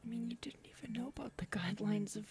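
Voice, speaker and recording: A woman playing a big, earnest predatory alien woman in an intimate audio roleplay, soft and hesitant, often murmuring. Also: a little high, quick pace, a little hiss or hum, natural voice. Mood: earnest, wounded. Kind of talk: audio roleplay acting, addressed to listener.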